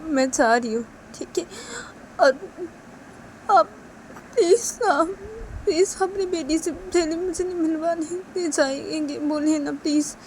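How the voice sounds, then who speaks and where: A woman's voice: sits high, takes it slowly, pauses often, slight background noise, low-quality recording. A woman voicing a young female employee who begs earnestly and tearfully, repeating please. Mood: insistent.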